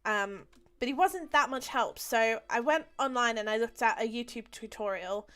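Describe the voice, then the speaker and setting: A woman's voice: on the high side, normal speed, pushed and bright. A young woman chatting casually while she streams a game, with lots of ums and easy laughs. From Australia. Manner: chatty, many ums and restarts, laughs easily. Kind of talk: casual live-stream chat while playing.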